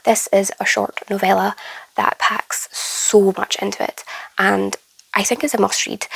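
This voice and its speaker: A young woman chatting to camera about her favourite books, her voice hoarse and croaky from a flu, enthusiastic and casual, often trailing into a breathy near-whisper. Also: medium pitch, no echo, rough recording. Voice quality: hoarse, gravelly. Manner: chatty and enthusiastic, drifting into soft whispery stretches. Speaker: book vlogger. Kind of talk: casual reading wrap-up to camera.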